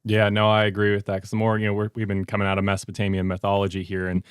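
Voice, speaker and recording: A man with a very low voice hosts a podcast and talks in an earnest, conversational way. His delivery stays level and even, and the sound is dry and close. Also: very fast, clean tone, no echo. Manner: earnest, conversational, circles around his points. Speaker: podcast host. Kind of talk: podcast interview.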